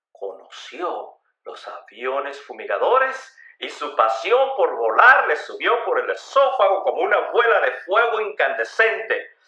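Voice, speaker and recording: A man delivers dramatic scripted radio narration with theatrical emphasis. His pitch moves in lively swings, and his tone is bright and forceful. The voice carries a clear roomy echo.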